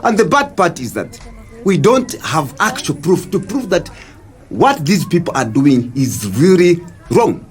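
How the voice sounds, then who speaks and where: Curious Zambian man, very animated. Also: fairly high pitch, a little slow, soft background music. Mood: cynical. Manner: conversational, questioning. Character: laid-back, curious Zambian man, cynical about corrupt leaders. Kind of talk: scripted educational audio drama.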